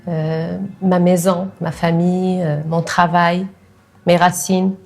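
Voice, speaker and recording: A woman's voice: lowish voice, flat delivery, creaky, a little mellow. Hesitant woman with an Algerian accent. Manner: hesitant, pausing often. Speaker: documentary interviewee, sales assistant. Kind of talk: personal testimony in an interview.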